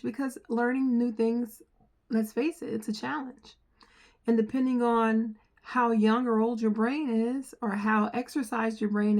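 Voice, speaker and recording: A middle-aged woman from the United States, with an accent that mixes New Jersey, New York and North Carolina, talking earnestly to camera as a software trainer and repeating phrases for emphasis. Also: on the high side. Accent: mixed New Jersey, New York and North Carolina. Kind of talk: earnest direct-to-camera talk.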